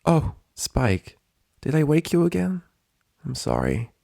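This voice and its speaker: A man voicing a troubled female unicorn pony in a story reading, subdued and halting, words trailing off. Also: on the high side, huge pitch swings, vocal fry, dark tone, dry recording, clean studio sound, pitched above the performer's own voice, full character voice. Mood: weary, upset. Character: weary distraught young female unicorn pony.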